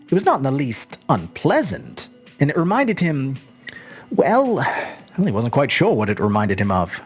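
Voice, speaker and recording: Husky, expressive woman storyteller. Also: very deep voice, a little slow, soft background music. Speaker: story narrator. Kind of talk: expressive literary narration.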